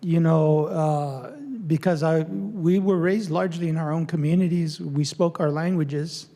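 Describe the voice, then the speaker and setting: Halting older man, gravelly and mellow. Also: fairly high pitch, fairly even intonation. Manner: halting, many fillers and restarts. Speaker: lecturer on Native studies. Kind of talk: public talk with audience Q&A.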